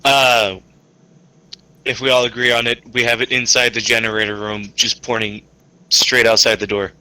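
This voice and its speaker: A man with a mid-pitched, strikingly bright and forceful voice. He speaks casually as a player in a tabletop game, talking options over with the group. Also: level tone, moderate pace, clean tone, low-quality recording.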